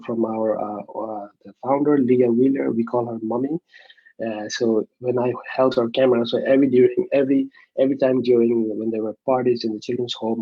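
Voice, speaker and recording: Halting young man. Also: medium pitch, husky, highs cut off. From Nepal. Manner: halting, many fillers and restarts. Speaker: interview guest. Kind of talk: casual interview over a video call.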